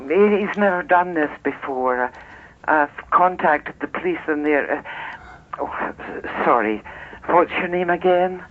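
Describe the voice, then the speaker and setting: Slow, worried wife on the phone. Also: female voice, low voice, animated. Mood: worried, apologetic. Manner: hesitant, distracted. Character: worried, apologetic wife on the telephone. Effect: telephone. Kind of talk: naturalistic radio drama acting. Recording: dull telephone sound.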